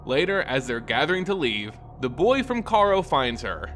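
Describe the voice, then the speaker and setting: A man narrating a scripted fantasy story and recap in a dramatic, expressive way. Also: medium pitch, moderate pace, a little hiss or hum. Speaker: narrator and host of a lore channel.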